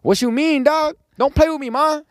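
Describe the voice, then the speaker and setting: A man's voice: moderate pace, dry recording, clean studio sound. A young man chatting casually on a podcast, telling stories with lots of slang. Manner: slangy, animated storytelling, jumps into high mock voices when quoting. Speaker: podcast host.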